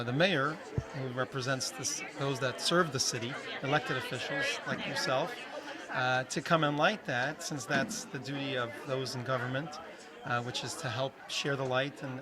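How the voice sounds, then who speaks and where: A man, a rabbi, explains fluently and steadily at a community celebration. His pitch is ordinary for a man. A busy crowd can be heard all around him outdoors. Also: fairly even intonation, moderate pace. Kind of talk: event interview. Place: outdoor community holiday event. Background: crowd chatter.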